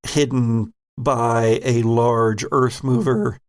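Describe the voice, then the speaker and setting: A man narrating a tabletop game as game master, laid-back and wry, with pauses in his narration. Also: mid-range voice, flat delivery.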